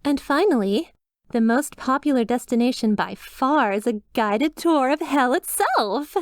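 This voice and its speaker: A woman's voice: high voice, lively intonation, unhurried, dry recording, clean studio sound. A woman playing a cheerful demon receptionist in an ASMR roleplay, with polished, reassuring customer-service politeness and a slyly sinister undertone. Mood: bright, reassuring. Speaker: hotel receptionist character.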